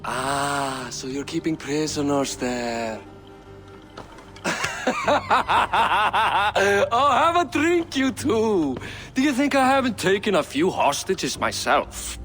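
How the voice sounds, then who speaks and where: A boozy, boastful king in a game cutscene drawls his words slowly, his somewhat high voice swinging up and down with jovial mockery. Faint game music plays softly behind him. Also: sing-song, exaggerated voice. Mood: mocking. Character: boastful boozy middle-aged male king. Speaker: game character. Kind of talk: video game cutscene acting.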